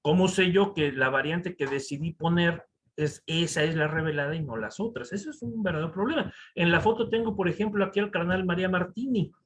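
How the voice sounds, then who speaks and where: A man with a Mexican accent giving a conference lecture over slides, animated and full of rhetorical asides to the audience. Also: a little high, brisk, a little hiss or hum. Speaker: conference speaker. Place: conference hall.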